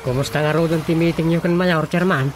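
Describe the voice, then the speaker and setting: A man's voice: on the high side, steady tone, fairly quick, soft background music, lightly acted. A man acting a village councillor and chairman's aide in an Ilocano radio drama comedy, conversational and grumbling, with an Ilocano accent. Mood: attentive, agreeable.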